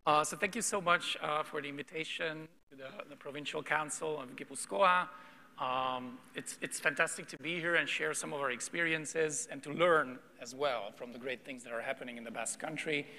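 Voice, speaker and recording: Bright-voiced man in a big hall. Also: medium pitch. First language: English. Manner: polite, somewhat halting. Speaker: panel guest. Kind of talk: formal conference talk. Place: conference hall. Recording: conference hall reverb.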